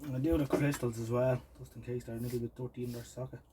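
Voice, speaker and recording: An Irish man giving casual, informal commentary as he does hands-on repair work, muttering to himself in an unhurried way. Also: medium pitch, steady tone, very noisy. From Ireland. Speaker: presenter of a vintage radio repair channel.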